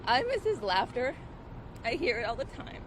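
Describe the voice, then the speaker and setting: Grieving woman, halting outdoor statement. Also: a little high, sing-song, noisy background. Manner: halting, emotional, with many restarts. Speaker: sister of the victim. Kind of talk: press conference statement.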